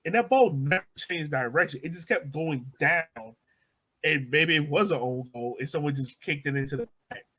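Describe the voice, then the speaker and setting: A man's voice: a little high, animated, normal speed, pushed and bright, slightly rough, poor audio. A man casually debating soccer on a podcast, insistently explaining his view step by step. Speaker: podcast host and soccer supporter.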